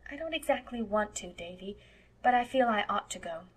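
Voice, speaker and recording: Lady reader as sweet earnest young woman, proper n soft spoken, storybook character. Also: medium pitch, expressive, fairly quick, slightly rough. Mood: gently firm. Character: gentle, earnest, romantic young woman, fond elder guardian. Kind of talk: audiobook character voice.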